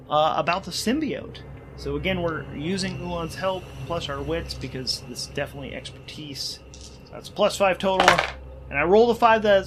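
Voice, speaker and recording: A man playing a solo role-playing game, thinking aloud and narrating haltingly, repeating phrases as he reasons things out. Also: on the high side, a bit bright.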